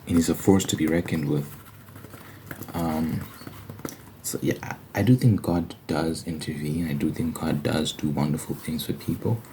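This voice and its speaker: A man's voice: extremely low pitch, steady tone, moderate pace, dark tone, a little hiss or hum. A man giving an informal, reflective ASMR religious monologue, thinking aloud as he explains scripture. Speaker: host of an ASMR Bible study. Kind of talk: ASMR religious monologue, conversational Bible commentary.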